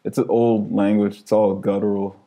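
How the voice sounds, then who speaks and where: Laid-back storytelling guy, mellow voice. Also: lowish voice, flat delivery, moderate pace. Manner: loose storyteller, heavy on "like", laughs as he talks. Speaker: podcast guest. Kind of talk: casual chat.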